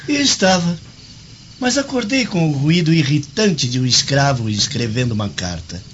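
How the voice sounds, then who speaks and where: A man's voice: fairly high pitch, expressive, normal speed, a bit bright, highs cut off, a little hiss or hum. An actor playing an imperious Roman nobleman with a pompous, theatrical manner in a radio drama. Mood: teasing, condescending. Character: haughty male Roman aristocrat, imperious and teasing. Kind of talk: Brazilian radio drama acting.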